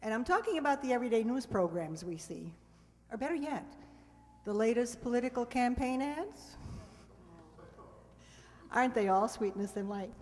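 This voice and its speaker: A woman's voice: on the high side, lively intonation, moderate pace, pauses often. A woman giving a prepared, courteous stage introduction as host of a radio players' show. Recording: echoey hall, live stage.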